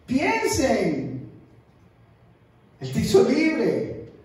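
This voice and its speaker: A man's voice: very high voice, huge pitch swings, very slow, a little mellow. A man preaching a sermon as an evangelist, emphatic and repeating his words for stress. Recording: echoey room, distant mic.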